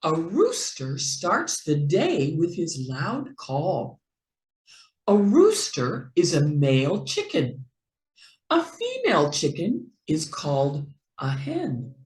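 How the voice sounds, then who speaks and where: Lady reading a kids book out loud, super sing-song and expressive, takes her time, echoey room. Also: low voice. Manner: expressive, deliberate, with playful animal sounds. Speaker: children's book reader and online teacher. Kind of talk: slow animated read-aloud for young children.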